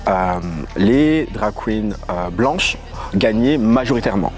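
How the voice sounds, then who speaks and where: A young man from Paris with a rather low voice, chatting as an interview guest. He talks in a playful, lively way, tossing in quick asides. Faint music sits under him. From Paris, of Congolese origin. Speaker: guest, voguing dancer and house leader. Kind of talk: casual interview chat.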